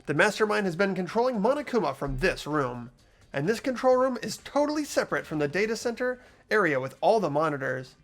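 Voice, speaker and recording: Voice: medium pitch, very animated, brisk. A playthrough host reading a video game story aloud in character, with casual asides.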